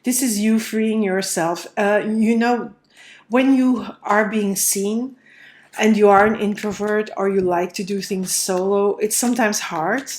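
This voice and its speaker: A woman's voice: mid-range voice, steady tone, measured pace. A woman with a cold and a slightly nasal voice, thinking aloud as she gives a tarot card reading, with many fillers and restarts. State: stuffy nose from a cold. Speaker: tarot reader.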